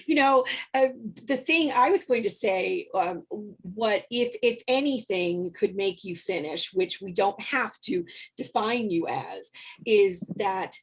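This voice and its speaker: A woman's voice: medium pitch, slow pace, breathy edge. A woman moderating an interview, affirming her guest and leading into questions over a video call. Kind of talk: interview conversation.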